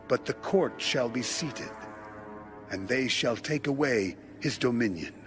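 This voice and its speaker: A man narrating an audio Bible in a solemn, dramatic, deliberate way. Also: mid-range voice. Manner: measured, deliberate delivery. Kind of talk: solemn dramatic scripture reading. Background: music bed.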